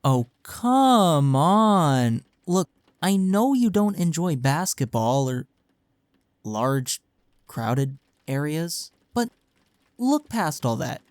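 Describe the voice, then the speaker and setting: A man's voice: on the high side, big pitch swings, very slow, dry recording, exaggerated voice. A young man's voice in an intimate audio roleplay, recorded very dry. He is acting as a caring college best friend speaks casually and earnestly, with a playful, teasing streak, as if to one listener. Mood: playful, chatty. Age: young adult.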